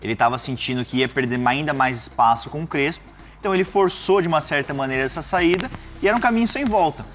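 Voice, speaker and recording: A man's voice: a little high, lively intonation, quick pace, a bit bright, soft background music. A Brazilian man chatting as a panelist in a casual football debate.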